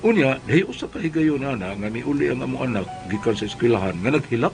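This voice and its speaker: A man playing an excitable, henpecked Cebuano father in a comedy skit, exasperated and pleading. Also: medium pitch, quick pace, vocal fry, soft background music. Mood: confiding. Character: henpecked, excitable Cebuano father pacifying his fierce wife. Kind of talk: comedic first-person storytelling and skit acting.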